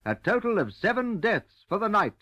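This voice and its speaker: High-pitched, lively Australian radio newsman. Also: moderate pace, highs cut off. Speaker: radio newsreader. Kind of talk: 1960s radio news bulletin, scripted narration. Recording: vintage radio recording.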